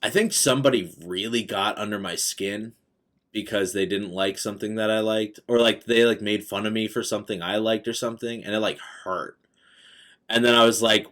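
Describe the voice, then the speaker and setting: A man's voice: on the low side, moderate pace, fairly bright tone. A man of thirty talking in casual, slangy conversation as a podcast co-host.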